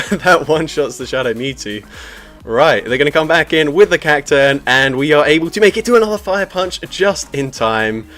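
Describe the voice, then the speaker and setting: A man giving energetic shoutcaster-style commentary on a live-streamed game, laughing often as he talks. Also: moderate pace. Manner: energetic shoutcaster delivery, laughs often, lively pitch jumps. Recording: music playing faintly behind.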